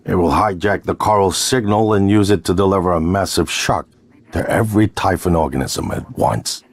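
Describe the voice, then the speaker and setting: Deep, husky-voiced persuasive man. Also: moderate pace. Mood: intent, conspiratorial. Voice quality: low, husky. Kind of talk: dramatic video game acting.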